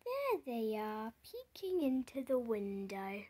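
A storybook reader going through a picture book aloud in simple, deliberate phrases, pausing and putting questions to the listener. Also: medium pitch, huge pitch swings, darkish tone, breathy edge. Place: indoors, book held before the camera.

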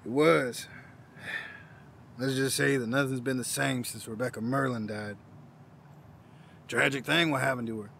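A gravelly, forceful old veteran , acted by a man, down on his luck but proud. He talks in a gruff, wry, folksy way, as in an audio drama. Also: mid-range voice, fairly even intonation, a little slow, pauses often, slight background noise. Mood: weary, reluctant.

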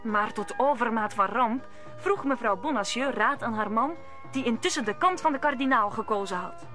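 A woman's voice: on the high side, normal speed, pushed and bright. Woman narrating a radio play over music.

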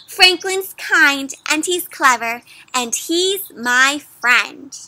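Storybook little girl, read by a woman: fierce, loyal, emphatic, with a high, very bright voice. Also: lively intonation, extremely slow, no echo, exaggerated voice. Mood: fierce, defending. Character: fierce loyal little girl defending her dragon friend.

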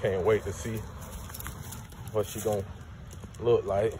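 Low-voiced guy chatting while walking his dog, slangy and easygoing, phone mic outside with clear street noise. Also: little pitch movement, slow, dark tone. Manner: laid-back, slangy, talks to his dog between remarks. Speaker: dog breeder. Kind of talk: casual walk-and-talk vlog. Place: outdoors on a neighborhood sidewalk. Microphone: phone held while walking a dog. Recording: outdoor phone recording with clear background noise.

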